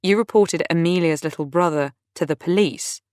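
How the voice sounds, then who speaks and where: A woman's voice: fairly low pitch, darkish tone, clear, resonant tone, dry recording, studio quality, close to an everyday voice. A woman voicing a patient friend who speaks carefully and holds back her temper. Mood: furious. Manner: measured, restrained.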